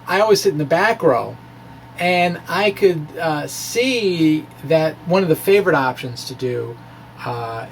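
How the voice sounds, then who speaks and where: A man giving an informal, self-deprecating technical ramble in a hesitant way, with animated asides. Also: on the high side, slight background noise, poor audio. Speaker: physics blogger presenting his own work. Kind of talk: informal technical ramble, reading of own blog.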